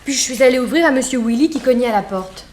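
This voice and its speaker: Young maid, high-pitched, rapid, eager, noisy vintage radio drama recording. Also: female voice, animated, a little mellow. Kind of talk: 1960s French radio detective serial acting.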